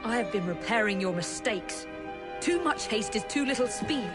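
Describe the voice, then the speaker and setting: An actress playing a composed, sharp-tongued young English woman with a precise, formal manner in a video game cutscene. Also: a little high, expressive, normal speed, over loud music, close to an everyday voice. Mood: stern, scornful. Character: prim, composed, sharp-tongued young female spy, disapproving sister.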